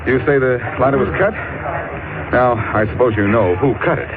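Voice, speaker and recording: An actor playing a cocky, sardonic amateur detective, flippant and teasing, in 1940s radio style. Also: male voice, medium pitch, moderate pace, lightly acted. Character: wisecracking, cocky, sardonic amateur male sleuth. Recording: tinny, noisy old 1940s radio recording.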